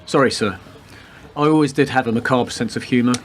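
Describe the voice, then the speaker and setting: A laconic male detective played by an actor with a dark, deadpan wit. His voice sits at a middle pitch and his lines are short and dry, as radio drama dialogue. Ambient noise is clear behind him. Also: fairly quick, close to an everyday voice. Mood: wry, matter-of-fact. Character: wisecracking male police detective with macabre humour. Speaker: actor in a community radio play.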